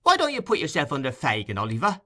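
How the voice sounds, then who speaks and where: Merry, mocking young pickpocket for a Victorian tale , played by a man: high, piercing, flippant, full of slang. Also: huge pitch swings, fast, bright, forceful tone, exaggerated voice. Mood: teasing, flippant. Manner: flippant slangy street talk. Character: cheeky, jeering young boy thief, flippant and merry.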